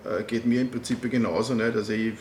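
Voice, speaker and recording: A middle-aged man with an Austrian accent, interviewing a guest in a hesitant, measured way with many fillers. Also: mid-range voice, steady tone, echoey room, a little hiss or hum. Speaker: interviewer and music booker. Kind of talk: casual interview chat.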